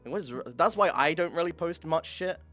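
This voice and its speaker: Young guy streamer, candid and sweary, voice bounces around a lot, throat a bit worn, soft music behind. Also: a little high, a little fast. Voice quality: says his voice is monotone, tries to liven it. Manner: candid, swears often, self-deprecating. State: throat worn from years of screaming. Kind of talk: casual podcast chat.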